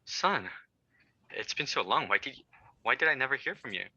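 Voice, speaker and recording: A man playing a shaken, regretful father in an audio drama table read, speaking haltingly. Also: mid-range voice, animated, sharp tone, no echo, muffled recording.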